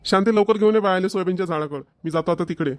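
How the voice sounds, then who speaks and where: A man's voice: high-pitched, very fast, dry recording, studio quality, natural voice. A man with a Varhadi Marathi accent voicing an impatient, scolding village farm boss in a cartoon comedy. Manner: scolding, urgent.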